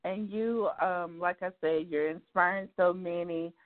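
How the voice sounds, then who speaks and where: A woman's voice: lowish voice, steady tone, unhurried. A woman from South Carolina hosting a talk show, asking questions in an enthusiastic, casual way. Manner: enthusiastic, laughs often. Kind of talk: casual interview chat. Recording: tinny phone line.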